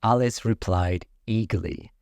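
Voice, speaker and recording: A man narrating a children's bedtime story in a soothing, measured, expressive way. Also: fairly low pitch, creaky, smooth, dry recording.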